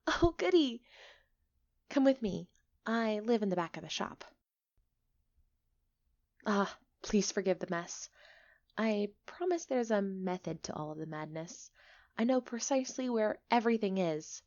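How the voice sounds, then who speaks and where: A woman playing a friendly, chatty, excitable village alchemist in an ASMR roleplay, expressive and a little flustered, on a slightly dull recording. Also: medium pitch, a little slow, pauses often, lightly acted. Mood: excited, welcoming. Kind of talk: ASMR roleplay acting, one-sided conversation.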